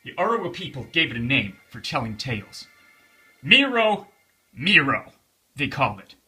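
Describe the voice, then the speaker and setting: A man's voice: mid-range voice, sing-song, normal speed, pushed and bright, slightly rough, rough recording. A man telling a children's bedtime story in a theatrical, emphatic way, repeating phrases for suspense.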